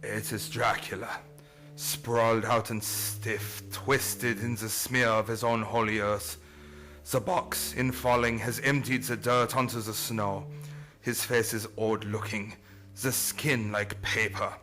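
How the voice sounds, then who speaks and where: An actor playing an urgent, commanding vampire hunter in a radio play, solemn and dramatic, with stilted phrasing. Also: male voice, on the low side, little pitch movement, slow, bright, forceful tone, soft background music. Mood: hushed, grave.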